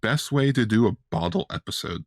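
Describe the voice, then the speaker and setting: A man's voice: medium pitch, lively intonation, a little fast, no echo, clean studio sound. A man co-hosting a podcast, chatting casually about a TV show. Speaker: podcast host.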